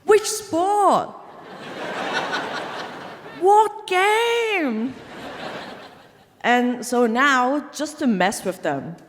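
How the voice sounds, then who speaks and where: Lively woman comedian in a reverberant club. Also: high voice, very slow, pauses often, a little hiss or hum. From Hong Kong. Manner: deadpan punchlines, laughs at her own jokes. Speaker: stand-up comedian. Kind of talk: stand-up comedy set. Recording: live venue with long reverb. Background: audience laughter.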